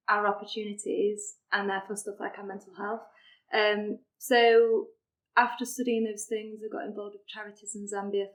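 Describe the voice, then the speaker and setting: A woman's voice: medium pitch, poor audio. A young adult woman with a Sheffield accent, chatting casually as a podcast guest, with lots of fillers. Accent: Sheffield English. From Sheffield, England. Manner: chatty, many fillers and likes. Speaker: charity deputy director, podcast guest. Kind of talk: casual interview chat.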